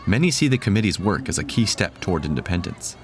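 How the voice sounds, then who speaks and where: Low-voiced male documentary narrator. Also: very animated, quick pace. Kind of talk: scripted narration.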